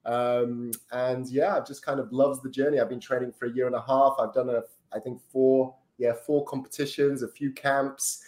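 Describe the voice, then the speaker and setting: A man's voice: mid-range voice, little pitch movement, moderate pace, few pauses, darkish tone. A Brazilian-born man, a podcast guest chatting casually with lots of ums and tag questions. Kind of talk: casual interview chat. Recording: roomy echo.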